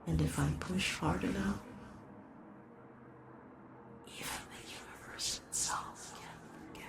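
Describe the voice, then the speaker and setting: A performer voicing a lovesick shapeshifting horror in a roleplay monologue, doting and possessive, halting, with soft menace in the endearments. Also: medium pitch, fairly even intonation, vocal fry, in a whisper. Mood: possessive, confessional. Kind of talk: dramatic roleplay monologue addressed to the listener.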